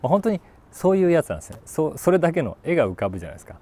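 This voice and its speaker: A man in his forties talking casually about a book, thinking aloud and reading short lines with animated emphasis. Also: a little high, rapid-fire. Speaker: YouTube host, voice actor. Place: outdoors on a city rooftop.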